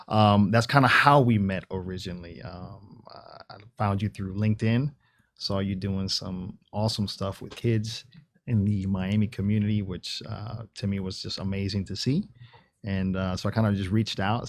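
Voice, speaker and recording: A man in his forties from Tampa, Florida, answering as an interview guest in an informal, reflective, conversational way, often repeating phrases. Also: low voice. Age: 40s. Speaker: interview guest, logistics branch manager.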